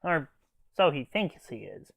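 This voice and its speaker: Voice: medium pitch, big pitch swings, a little slow, creaky, husky, no room sound. Reviewer doing scripted anime banter, close dry sound.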